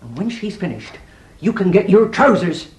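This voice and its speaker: An actress playing a surly, blunt rustic inn servant in a farce, defiant and ungrammatical. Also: female voice, medium pitch, sing-song, normal speed, a little mellow, natural voice. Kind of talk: vintage stage farce acting. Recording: echoey room.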